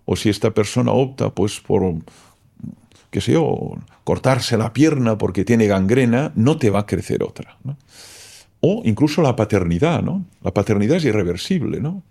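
Gravelly, dark-voiced man explaining slowly. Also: on the low side, expressive. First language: Catalan. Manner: thoughtful, explanatory, keeps tagging "¿no?". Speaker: philosopher guest. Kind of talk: reflective interview talk.

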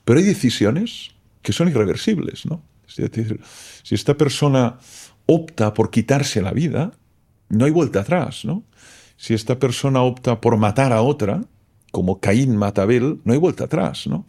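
Gravelly, dark-voiced man explaining slowly. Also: medium pitch, animated. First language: Catalan. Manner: thoughtful, explanatory, keeps tagging "¿no?". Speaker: philosopher guest. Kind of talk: reflective interview talk.

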